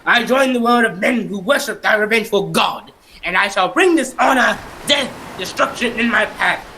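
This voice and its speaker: A man's voice: sits high, normal speed, gravelly, pushed and bright, a little hiss or hum, exaggerated voice. A man playing a bitter, cursed ghost ship captain in declamatory old-time radio melodrama. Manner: declamatory, anguished, vengeful.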